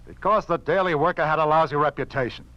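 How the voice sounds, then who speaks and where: Fed up blunt newspaper manager, old radio play, kinda high voice, lo-fi sound. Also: male voice, quick pace, sharp tone, dry recording. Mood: exasperated, blunt. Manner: blunt, plain-spoken. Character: blunt, exasperated male newspaper circulation manager. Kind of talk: 1950s radio drama acting. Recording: dull old 1950s radio recording.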